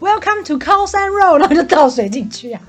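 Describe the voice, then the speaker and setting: A woman's voice: extremely high pitch, big pitch swings, a little fast, soft background music. A woman with a Taiwanese Mandarin accent co-hosting a podcast in casual, teasing banter with jokey swearing. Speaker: podcast co-host.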